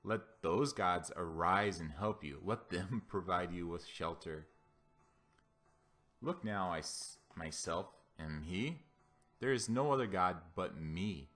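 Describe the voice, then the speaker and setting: A man's voice: low voice, takes it slowly, a bit bright, faint music behind. A man voicing a mighty, wrathful God in a scripture reading, commanding and declamatory as he proclaims vengeance.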